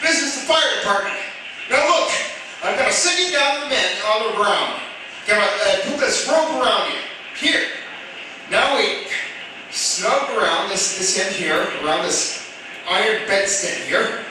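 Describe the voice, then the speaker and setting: An actor playing a brave, earnest firefighter, muttering with effort and calling out in a radio drama. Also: male voice, sits high, animated, a little slow. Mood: reassuring, urgent. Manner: effortful muttering, calls out to others. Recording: echoey, distant, clearly noisy.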